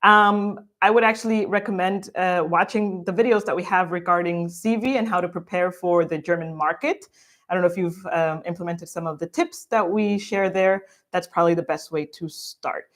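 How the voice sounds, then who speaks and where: A woman's voice: lowish voice, steady tone, normal speed. A woman from Guatemala co-hosting a livestream, reading out viewer questions in a bubbly, laugh-filled way. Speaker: co-host.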